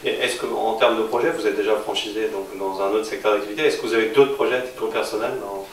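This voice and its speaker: A man's voice: lowish voice, fairly even intonation, fast, distant mic. A man conducting an interview, putting questions to his guest. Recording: echoey room, off-mic, faint noise.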